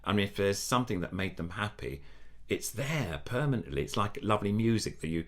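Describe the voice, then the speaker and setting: A man's voice: lowish voice, expressive, fairly quick. An older man with a nasal voice, a puppeteer chatting in an interview, enthusiastic and rambling with many restarts. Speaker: puppeteer guest. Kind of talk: casual interview chat.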